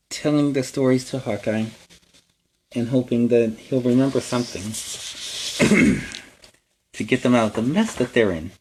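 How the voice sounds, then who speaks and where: A man's voice: medium pitch, a little mellow, a little hiss or hum, rough recording. A man hosting a children's story time, narrating a storybook with chatty asides. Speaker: children's story time host in superhero character.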